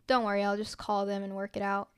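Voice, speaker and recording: A woman's voice: medium pitch, monotone, fast, smooth, lightly acted. A woman voicing a female newspaper obituary clerk in a story, hesitant and placating. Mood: dismissive.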